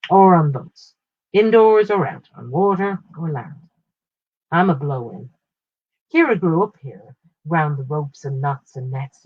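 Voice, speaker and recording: Woman reading a story, slightly husky, dull recording. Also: sits low. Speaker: short story reader. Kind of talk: literary first-person story narration, read aloud.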